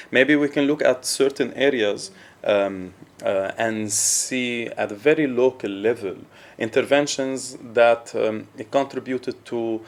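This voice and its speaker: A man speaking at a panel discussion, halting and hesitant with many restarts. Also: on the low side. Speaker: panel audience member.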